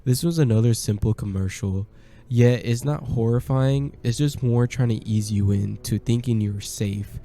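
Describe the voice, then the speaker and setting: Dark-voiced man narrating a horror game. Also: medium pitch, moderate pace. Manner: plain, conversational, slightly hesitant. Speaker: gameplay commentator. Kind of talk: casual voice-over game commentary.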